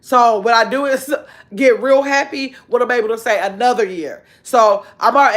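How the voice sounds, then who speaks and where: A woman in her fifties, chatting casually on a livestream from her bedroom, reading viewer comments aloud in an animated, talkative way. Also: fairly high pitch. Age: 50s. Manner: chatty, animated, drawn-out exclamations. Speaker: livestream host. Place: bedroom at home, indoors. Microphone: phone held close at arm's length.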